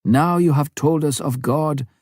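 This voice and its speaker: A man's voice: on the high side, a little slow, a little mellow, dry recording, studio quality, voice pushed up. A man voicing a grateful old village leader in an audiobook, speaking in simple, earnest statements. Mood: solemn, grateful. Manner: simple, earnest short declarations. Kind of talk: audiobook character voice.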